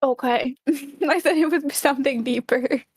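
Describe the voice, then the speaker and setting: A woman's voice: high-pitched, moderate pace, a little mellow, dry recording, studio quality. A woman co-hosting a casual livestream, bantering in a playful, blunt, innocent-sounding way. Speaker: guest co-host reacting to game trailers. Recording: music behind the voice. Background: music.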